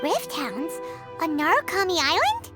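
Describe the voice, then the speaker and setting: A woman's voice: very high-pitched, huge pitch swings, a little slow, over music, full character voice. A woman voicing a tiny, perky, feisty childlike fairy companion in an anime video game, with a cute, exclamatory delivery. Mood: curious.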